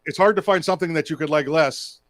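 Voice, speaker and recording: An American man co-hosting a political talk show, chatting casually in a halting, repetitive way. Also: on the high side, a bit bright, dry recording, clean studio sound. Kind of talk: casual political commentary chat.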